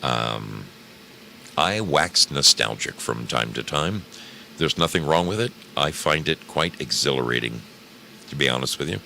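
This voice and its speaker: A man's voice: a bass voice, lively intonation, unhurried, gravelly, pushed and bright, a little hiss or hum. A man hosting an online radio program in a rambling, casual monologue, joking and theatrical, repeating phrases for emphasis. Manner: jokey, theatrical, emphatic repetition. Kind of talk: rambling casual monologue to live stream audience.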